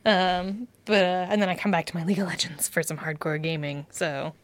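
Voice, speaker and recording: A woman in the game industry chatting casually on a podcast, excitable, getting loud, and going into detail about game stats. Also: lowish voice, a little fast.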